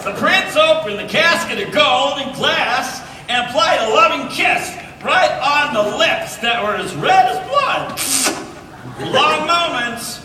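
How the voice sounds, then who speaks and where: A man's voice: extremely high pitch, animated, slow pace, full character voice. A man voicing a theatrical comic storybook narrator at a live reading, distant in an echoey hall with crowd noise. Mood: mock-dramatic. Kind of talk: comic cold read of a fairy tale. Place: large convention hall, recorded from audience.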